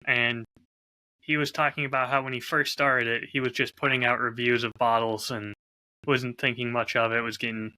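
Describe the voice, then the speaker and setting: A man's voice: medium pitch, flat delivery, normal speed, bright, forceful tone, low-quality recording. A man co-hosting a podcast, talking candidly and articulately in a casual, reflective, storytelling way. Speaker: podcast host and audio drama creator.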